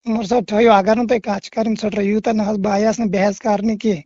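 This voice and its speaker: Mellow-voiced pleading Kashmiri man. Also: high voice, flat delivery, moderate pace, no room sound. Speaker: caller. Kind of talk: argumentative phone conversation. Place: phone call. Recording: dull lo-fi recorded phone call.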